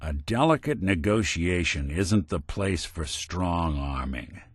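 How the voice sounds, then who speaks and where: Weary american noir detective android for a game, low voice, really expressive up and down, wry wisecracks. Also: male voice, slow, husky, dry recording, clean studio sound, heavily acted. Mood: firm, streetwise. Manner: wry, streetwise, old-fashioned gumshoe slang. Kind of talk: video game voice acting in 1940s film noir detective manner.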